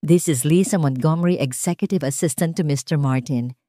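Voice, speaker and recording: A woman's voice: low voice, brisk, warm tone, dry recording, clean studio sound, lightly acted. A woman with a Filipino accent voicing a courteous, businesslike female executive assistant in an audio story, formal and professional.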